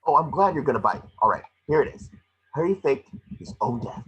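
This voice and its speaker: A man playing a small, cocky, wisecracking mouse detective in a table read, with a rough-edged husky voice. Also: mid-range voice, sing-song, muffled recording. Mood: insistent. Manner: fast-talking wisecracking patter. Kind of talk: animated script table read.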